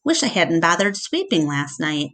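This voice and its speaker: A woman's voice: fairly low pitch, very animated, normal speed, a bit bright. A woman voicing a weary, skeptical father in a storybook read-aloud, with a tired, dismissive manner.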